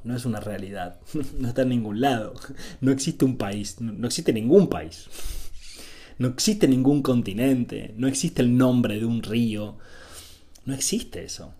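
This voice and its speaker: A man's voice: medium pitch, dark tone. A man from Argentina with an Argentine accent giving an informal spiritual talk as a teacher, in a didactic way with repeated phrases and short rhetorical check-ins.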